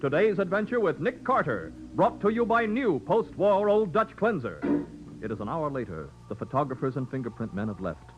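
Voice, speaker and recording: A man's voice: fairly high pitch, sing-song, brisk. A man announcing and narrating a 1940s radio drama in scripted style. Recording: dull old radio recording with music.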